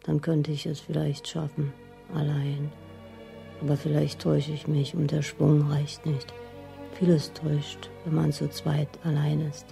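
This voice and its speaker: An actress playing a lonely, detached wife in a radio drama, in a flat, introspective monologue. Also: female voice, sits low, unhurried, creaky, heavily acted. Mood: detached, melancholy. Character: lonely, detached wife drifting apart from her husband. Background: faint music.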